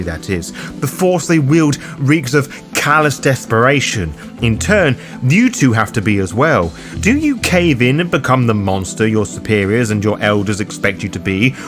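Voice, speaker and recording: A man reading a scripted lore guide for roleplayers, addressing the listener in formal, ornate phrasing. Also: mid-range voice. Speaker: host and narrator of a roleplaying guide. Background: background music under the voice.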